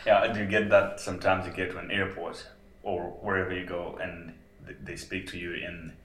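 A man from Malawi hosts a casual interview, asking plain, curious questions. His voice is very deep. The room around him is echoey, with a faint hiss underneath. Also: little pitch movement, normal speed, fairly bright tone. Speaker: podcast host.

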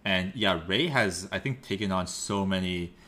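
A man's voice: low-pitched, normal speed, bright, forceful tone. A young man hosting a podcast, asking friendly questions in a hesitant way with many fillers. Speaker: podcast host. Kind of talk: casual interview.

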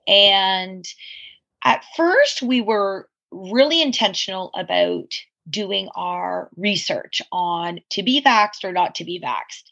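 A woman's voice: medium pitch, gravelly, pushed and bright, lo-fi sound. A woman in her forties, a podcast guest, telling her life story candidly in a reflective, rambling way full of asides. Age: 40s.

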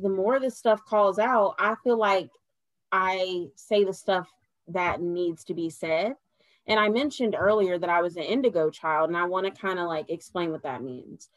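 A young adult woman, a podcast guest, talking earnestly in a casual chat and turning animated when she laughs. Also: mid-range voice, measured pace, no room sound, rough recording.

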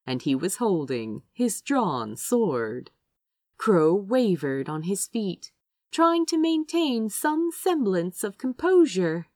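A woman reading fiction aloud as an expressive narrator, with dramatic, deliberate phrasing and emphasis on key words. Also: mid-range voice, dry recording, studio quality. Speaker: fan fiction reader, narrator.

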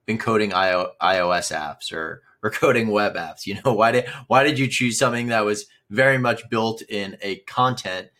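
A man with a very low voice hosts a relaxed interview. He asks long, winding questions, dotted with "you know" and little restarts. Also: normal speed, hardly any pauses. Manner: conversational, frequent "you know". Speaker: interview host. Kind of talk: casual interview.

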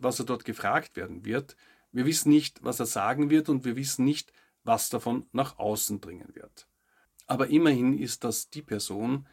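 A man's voice: mid-range voice, gravelly, darkish tone, husky. A man hosting a news update, reading scripted narration with short commentary. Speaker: host of a weekly news update show.

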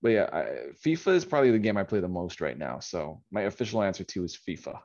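A man's voice: low voice, big pitch swings, fast, darkish tone. A man from Poland, a guest on a casual sports podcast, who often stumbles and repeats words as he starts sentences, heard with a muffled sound.

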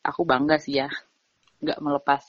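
A woman's voice: low-pitched, level tone, moderate pace, dry recording, dull audio. A young woman, a podcast guest, chatting casually, telling stories animatedly and giggling often. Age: young adult. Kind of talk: casual chat.

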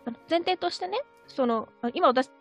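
A woman's voice: sits high, animated, moderate pace, dull audio, faint music behind. A woman hosting a casual panel chat. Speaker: radio show host and timekeeper.

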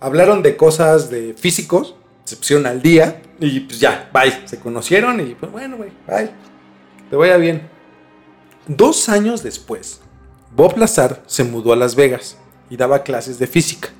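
Higher-voiced Mexican man telling a story. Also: animated, measured pace. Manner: colloquial, slangy. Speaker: podcast host. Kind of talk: conversational storytelling mixed with scripted narration. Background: music.

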